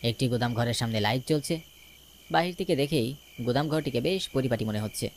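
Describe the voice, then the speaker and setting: A man's voice: mid-range voice, quick pace, a little hiss or hum. A man narrating a scripted first-person ghost story as a dramatic storyteller. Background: music.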